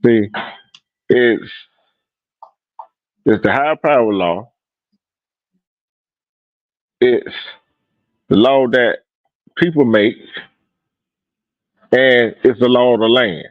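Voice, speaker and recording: A man hosting a casual podcast, talking in a halting, preachy way with many stops and fillers. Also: medium pitch, no room sound. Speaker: host. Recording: dull, dry lo-fi audio.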